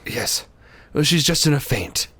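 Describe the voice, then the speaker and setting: A man playing a loyal, bumbling doctor who speaks in a slow, bewildered way in an audio drama. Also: fairly high pitch, lively intonation, pushed and bright, husky, heavily acted. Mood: earnest.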